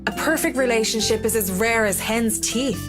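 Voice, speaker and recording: A woman's voice: on the high side, normal speed, natural voice. An actress playing an earnest, plain-spoken young woman in a visual novel game. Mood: earnest, awkward. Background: game music.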